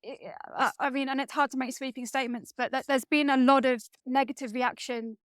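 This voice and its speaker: Female author guest, highish voice, conversational, reworks sentences mid-thought, dry close sound. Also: fairly even intonation, brisk, no echo. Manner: hesitant starts, restating phrases as she reasons. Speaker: guest author being interviewed. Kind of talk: conversational interview, thinking aloud.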